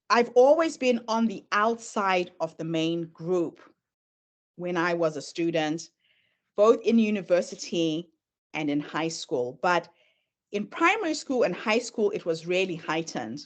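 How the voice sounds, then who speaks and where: A middle-aged woman whose first language is Shona, speaking with a Zimbabwean accent tinged with American, giving an earnest presentation and sharing her own story as she moves through slides. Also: on the low side. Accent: Zimbabwean, mixed with American. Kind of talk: presentation talk.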